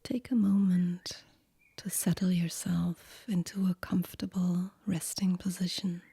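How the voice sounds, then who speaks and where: Breathy, whispering woman guiding slow meditation. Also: lowish voice, flat delivery, no room sound. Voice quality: breathy, hushed, near-whisper. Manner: gentle, unhurried, soothing, with long pauses. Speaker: yoga nidra meditation guide. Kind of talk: guided relaxation meditation, soft and whispery.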